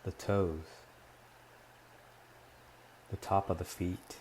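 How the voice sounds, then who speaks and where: A man leading a guided meditation, speaking gently and in measured short phrases with long pauses between them. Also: low-pitched, level tone, slight background noise.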